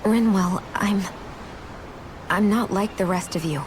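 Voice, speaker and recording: A woman's voice: medium pitch, takes it slowly, a little hiss or hum, natural voice. An actress playing a guarded, troubled young woman in a video game cutscene. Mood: withdrawn, sad. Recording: music behind the voice.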